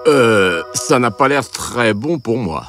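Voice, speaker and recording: A man playing a hapless young apprentice tailor in a comic storybook tale, expressive, whiny and sardonic. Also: mid-range voice, takes it slowly, faint music behind. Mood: sceptical. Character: hapless young male apprentice tailor, polite but sarcastic. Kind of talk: comic acted storybook dialogue.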